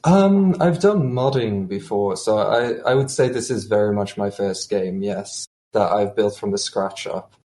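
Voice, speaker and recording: A man with a low voice gives short, polite answers as a guest. He speaks plainly about his work. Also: unhurried, few pauses, warm tone. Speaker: game developer guest. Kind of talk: casual interview answers.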